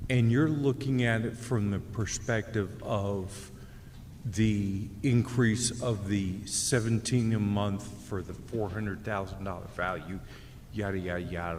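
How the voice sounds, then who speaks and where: An outspoken man with a booming voice, speaking as a resident at a public meeting podium. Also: steady tone, a little slow, darkish tone. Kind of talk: public comment. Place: city meeting hall. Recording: echoey hall with faint room noise.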